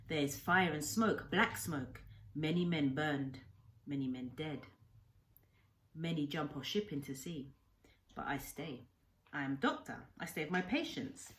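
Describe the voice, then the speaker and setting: Kindly old Japanese castaway doctor played by a woman reader, halting emphatic phrases, swooping intonation, storybook telling. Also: low voice. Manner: halting simplified English, earnest emphatic short sentences.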